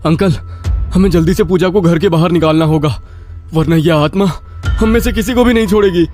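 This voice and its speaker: A man's voice: high voice, brisk, darkish tone, soft background music, pitched above the performer's own voice, close to an everyday voice. A man voicing an alarmed young man, wounded and urgently warning his elders in a horror cartoon story.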